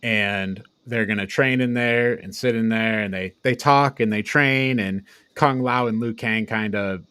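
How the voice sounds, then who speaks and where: A man's voice: on the low side, unhurried, bright, forceful tone, clean tone, dry recording. A man co-hosting a podcast, retelling a film in casual, rambling, sweary chat with frequent chuckles. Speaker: podcast co-host.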